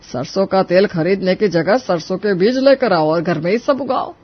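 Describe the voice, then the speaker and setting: Male actor as elderly sasur: very high voice, stubborn yet gullible, emphatic, cartoon storytelling, slightly muffled audio. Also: moderate pace, dry recording. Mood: stern, irritated. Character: stubborn, gullible old father-in-law of farming family. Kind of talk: animated Hindi moral story dialogue.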